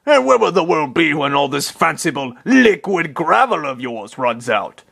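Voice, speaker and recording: A man puts on a dim, blustering old man for a comic dub. The voice is high and husky, leaping about in pitch. He sounds pompous, earnest and easily excited. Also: moderate pace, exaggerated voice. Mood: smug, deluded.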